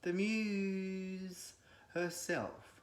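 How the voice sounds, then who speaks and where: A man gives a solemn, declamatory, measured recitation of classical poetry. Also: high-pitched, steady tone. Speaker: poetry reader. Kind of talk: dramatic recitation of classical verse. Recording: echoey room.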